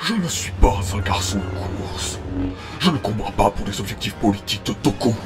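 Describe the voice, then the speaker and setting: A man voicing a proud, menacing droid army general in a French animated sci-fi fandub, gravelly and boastful. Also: lowish voice, moderate pace, over music, close to an everyday voice. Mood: scornful. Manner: boastful, theatrical villainy.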